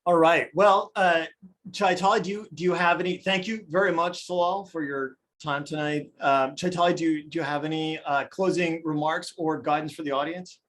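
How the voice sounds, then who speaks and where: A man with a fairly high voice moderates a panel Q&A. He asks his questions politely and hesitantly, with fillers. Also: fairly even intonation, normal speed, fairly bright tone. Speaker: student moderator.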